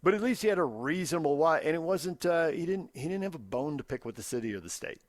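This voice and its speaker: A man's voice: a little high, lively intonation, fast, no room sound, clean studio sound. An American man co-hosting a sports radio show, talking in a casual, discursive, conversational way. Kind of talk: casual sports talk commentary.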